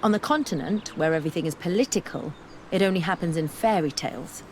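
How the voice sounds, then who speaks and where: An actress playing an earnest, scholarly young woman researcher in a fantasy video game, speaking in measured, formal phrases. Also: lowish voice, slight background noise, lightly acted. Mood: reflective, warm. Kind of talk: video game dialogue acting.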